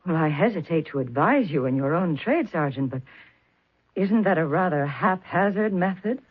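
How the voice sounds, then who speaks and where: An actress playing a composed, formal widow who speaks in a measured, cool way, in a 1970s radio drama. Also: female voice, on the low side, expressive, breathy edge. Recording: tinny old radio broadcast.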